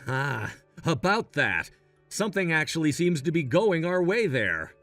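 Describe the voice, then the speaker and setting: A man's voice: on the high side, big pitch swings, unhurried, fairly bright tone, soft background music, full character voice. A man playing a supportive, take-charge young groom in a scripted story drama, speaking earnestly and reassuringly. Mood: explaining. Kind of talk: scripted melodrama story dub.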